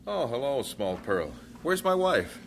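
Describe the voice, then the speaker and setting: Casting: earnest young-family missionary husband, plain-spoken and devoted, animated intonation, old-time radio style. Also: male voice, medium pitch, unhurried, vocal fry, slight background noise, low-quality recording. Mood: puzzled, alarmed.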